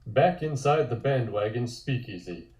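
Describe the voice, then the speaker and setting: A man narrating a scripted comic table read in measured, descriptive storytelling, with echoey room sound. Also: mid-range voice, animated, darkish tone.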